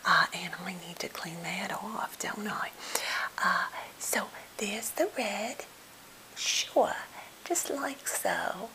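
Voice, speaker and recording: A woman playing a timid, slightly odd captive who is eager to please, speaking in a halting, gentle, polite whisper for an ASMR role play. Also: mid-range voice, expressive, poor audio. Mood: soothing, fussy. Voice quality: breathy whisper with fry.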